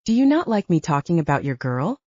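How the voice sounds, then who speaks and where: A woman's voice: lowish voice, very animated, brisk, dark tone, no room sound, muffled recording. Woman voicing a smug, sneering male werewolf alpha. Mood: taunting. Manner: sneering, goading.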